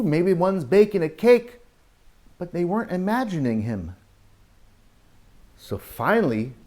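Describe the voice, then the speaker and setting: A man reads a storybook to young children like a teacher. His pitch swings widely, and he takes the story at a slow pace. He is expressive, with exclamations and questions put to his young listeners. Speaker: teacher reading a picture book to young children. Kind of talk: animated read-aloud storytelling with asides to children.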